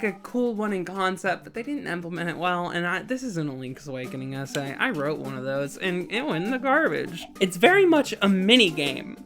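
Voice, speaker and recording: A woman narrating a scripted video essay with opinionated, playful, self-deprecating casual asides. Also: mid-range voice. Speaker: video game reviewer and narrator.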